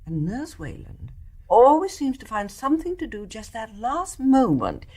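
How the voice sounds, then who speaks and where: An older actress with an English accent playing a genteel, kindly mother with a measured manner in a radio play. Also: a little high, huge pitch swings, mellow. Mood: confiding.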